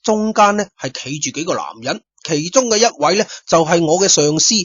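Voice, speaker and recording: Guy narrating a detective story like he's chatting with you, husky voice, dull lo-fi dry sound. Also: sits high, a little fast, dry recording. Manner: chatty, addresses listeners directly, lively asides. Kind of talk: first-person Cantonese storytelling. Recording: dull lo-fi dry studio recording.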